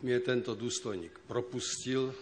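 A man from the Czech lands giving measured testimony as a witness in court. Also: mid-range voice. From Czech lands (Sudetenland). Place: large courtroom. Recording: old tape, hall reverb, faint hiss.

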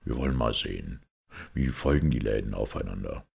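A man plays a shrewd priest detective in an audiobook, extremely deep and full of creak. He muses calmly and deliberately, with quiet irony and a very husky grain. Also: exaggerated voice. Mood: musing, reasoning. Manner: deliberate, reasoning, quietly ironic. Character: calm, shrewd priest detective, musing and mild.

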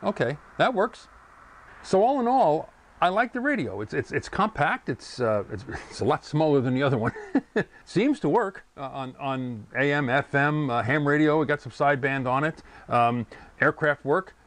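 A man's voice: on the high side, expressive, moderate pace, a bit bright. A man in his sixties casually reviewing a portable radio, thinking aloud and correcting himself as he goes, recorded on a lapel mic at home and on a screened porch. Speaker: ham radio hobbyist reviewing a radio. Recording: radio static and noise behind.

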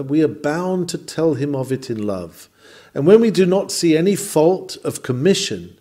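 A man's voice: on the high side, warm tone. A man reading a scripted sermon in an earnest, exhorting, oratorical manner. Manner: earnest, exhorting, rhetorical delivery. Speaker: sermon reader.

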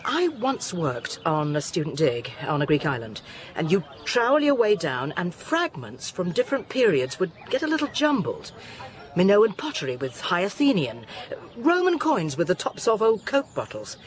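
A woman's voice: lowish voice, huge pitch swings, normal speed. An actress playing an inquisitive, dry-witted woman scientist who explains things wryly in a radio drama. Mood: keen, wry.

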